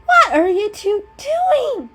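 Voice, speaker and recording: A woman's voice: very high-pitched, sing-song, slow, fairly bright tone, voice pushed up, exaggerated voice. A woman voicing a prim, disapproving cat in a storybook, screechy and exasperated. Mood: horrified. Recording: music under the voice.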